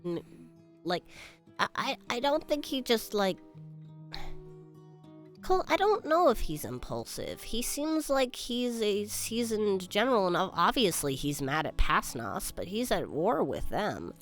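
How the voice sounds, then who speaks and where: A woman's voice: medium pitch, very animated, measured pace, faint music behind. A woman playing a tabletop roleplaying game, reasoning aloud as she makes her case to the group.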